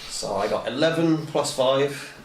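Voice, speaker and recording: A man's voice: mid-range voice, unhurried. A man playing a tabletop game, talking casually in a playful, goofy way. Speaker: player. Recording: echoey room with crowd noise.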